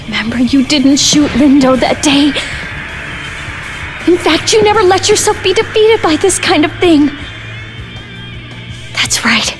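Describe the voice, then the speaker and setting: A woman playing a resolute, protective young fighter in a game, speaking in an earnest, deliberate way. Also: high voice, music in the background. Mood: reassuring resolve. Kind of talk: dramatic game cutscene acting.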